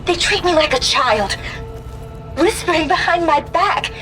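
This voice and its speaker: High-pitched teen girl, anxious and sardonic, confiding audio diary from a game, music under it. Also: lively intonation, unhurried, a bit bright, exaggerated voice, poor audio. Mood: troubled, sardonic. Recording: music behind the voice.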